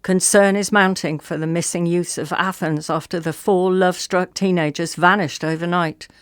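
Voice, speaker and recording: A woman playing an earnest radio newsreader, delivering a mock bulletin in a formal, measured, straight-faced manner. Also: on the low side, fairly even intonation, clear, resonant tone, no room sound, studio quality, close to an everyday voice. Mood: formal, serious.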